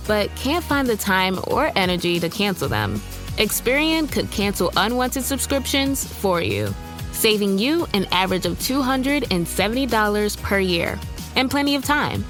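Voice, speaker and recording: A woman giving a brisk, polished scripted ad read. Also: mid-range voice, very animated, fairly bright tone, soft background music. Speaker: ad announcer.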